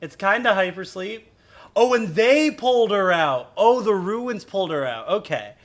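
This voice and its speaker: Higher-voiced man musing over a game. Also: animated. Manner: halting, musing, thinking aloud. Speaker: streamer. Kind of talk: casual live game commentary.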